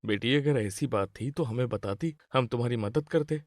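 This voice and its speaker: A gentle old grandfather of a wealthy family, acted by a man for a cartoon moral tale. He speaks with caring pride at an ordinary male pitch, recorded very dry. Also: moderate pace, dry recording, lightly acted. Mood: concerned. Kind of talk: acted Hindi moral-story cartoon dialogue.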